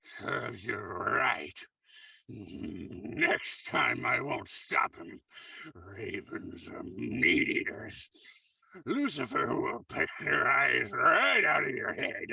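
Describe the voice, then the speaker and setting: A menacing old uncle in a vintage horror radio play, his voice deeply creaky and harsh. He drags out his words with gloating malice, swinging up and down, slightly away from the microphone. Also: big pitch swings, pushed and bright, recorded from a distance, full character voice. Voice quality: gravelly, raspy. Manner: menacing, cackling, drawn-out delivery. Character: spiteful, gloating old miserly uncle with a pet raven. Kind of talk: 1940s horror radio acting.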